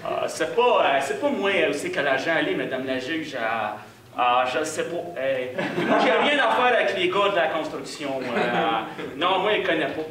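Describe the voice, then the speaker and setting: Brief: shifty low-voiced defendant, hesitant and mumbling, improvised by a man, echoey distant recording. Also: sing-song, moderate pace, a crowd in the background. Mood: evasive. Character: evasive mumbling man before a judge. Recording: echoey room from afar, noise.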